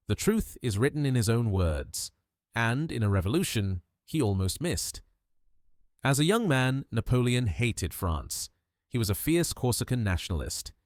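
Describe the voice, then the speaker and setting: A man's voice: medium pitch, a little mellow, no room sound, clean studio sound. A man giving scripted history documentary narration with dramatic storytelling in punchy short phrases.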